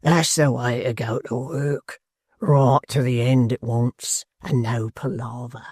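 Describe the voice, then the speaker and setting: A man's voice: medium pitch, steady tone, slow, darkish tone, breathy edge, no echo, studio quality. A man reading a storybook aloud, voicing a wheezy, sly old male hangman. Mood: gleeful.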